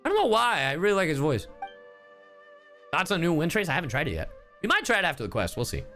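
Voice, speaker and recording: A man's voice: on the high side, big pitch swings, quick pace, pushed and bright. A man streaming a game and chatting casually with viewers, playful and exclamatory as he reacts. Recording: game music behind the voice. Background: video game music.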